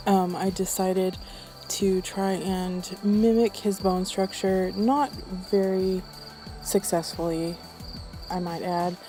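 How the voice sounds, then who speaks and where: An unwell woman with throat trouble giving a casual makeup tutorial voice-over in a low-energy, subdued manner. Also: fairly even intonation, slow pace, mellow, soft background music. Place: voice-over recorded apart from the footage.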